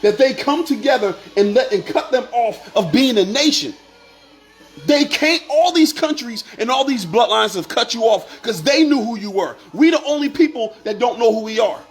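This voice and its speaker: A man's voice: very high-pitched, lively intonation, moderate pace, faint music behind. Fired-up man preaching to viewers. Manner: preachy, repetitive, rising to shouts. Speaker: online commentator. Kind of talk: impassioned monologue to viewers.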